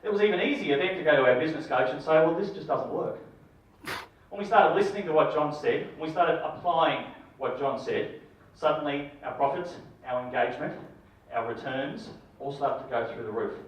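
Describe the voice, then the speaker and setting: A man's voice: fairly high pitch, expressive, fairly quick. A man giving an enthusiastic testimonial as he introduces the speaker at a seminar. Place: seminar room. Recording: distant, dull, very echoey room.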